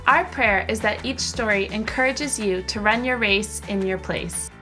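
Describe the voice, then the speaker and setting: A woman's voice: mid-range voice, normal speed, pushed and bright, faint music behind, low-quality recording. A woman co-hosting a podcast, reading a scripted show introduction. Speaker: podcast co-host.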